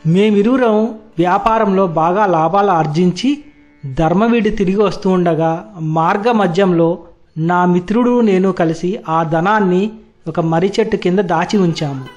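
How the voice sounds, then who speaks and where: A man playing a gentle, honest merchant in an animated moral story, speaking earnestly and formally. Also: high voice, natural voice.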